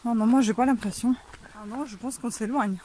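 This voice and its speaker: A woman's voice: on the high side, brisk, warm tone. Woman chatting in a maze. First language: Russian. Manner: laughs often, puzzled and chatty. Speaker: vlogger. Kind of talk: casual chat while walking a maze. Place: outdoors in a hedge maze.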